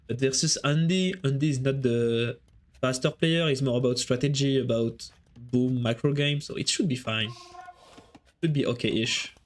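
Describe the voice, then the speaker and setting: A man's voice: medium pitch, fairly even intonation, normal speed. A man with a French accent casting a strategy game on a live stream, chatting with viewers between plays. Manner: chatty, answers chat while casting.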